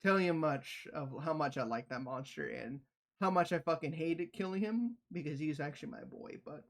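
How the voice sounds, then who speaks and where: Man, slightly high voice, casual livestreamer, slangy and sweary, animated storytelling. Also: normal speed. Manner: profane, slangy. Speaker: livestreamer reacting to a video. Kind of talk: casual reaction commentary.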